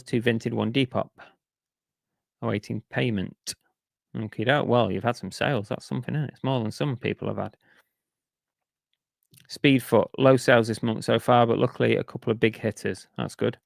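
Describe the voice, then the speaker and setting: Casting note: male streamer, low gravelly voice, dry close sound, chatty and grumbling. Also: steady tone, moderate pace, long pauses, no room sound. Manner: chatty, grumbling, reads out viewer messages. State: losing his voice. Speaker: reseller streamer reading chat. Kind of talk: casual live chat.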